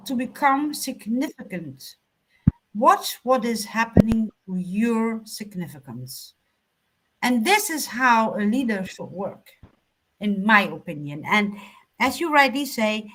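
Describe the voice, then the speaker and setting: A woman whose first language is German, speaking reflectively and off the cuff as a panelist, in a measured, halting way with emphatic pauses. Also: a little high, background noise. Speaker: panelist on a talk show. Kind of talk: reflective unscripted panel talk.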